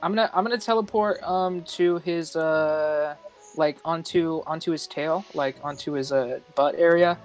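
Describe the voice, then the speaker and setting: A man playing a tabletop game, talking casually, halting and repeating himself as he deliberates. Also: a little high. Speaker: tabletop roleplaying player. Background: music.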